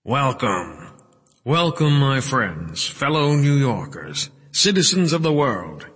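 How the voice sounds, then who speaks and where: A man performs a grandiose male director giving a ceremonial address in an audiobook. He booms out each phrase with sweeping pitch and a slightly husky tone. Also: very animated, takes it slowly, fairly bright tone, big-room sound, heavily acted. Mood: grand welcome. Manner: booming, ceremonious. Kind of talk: audiobook character acting.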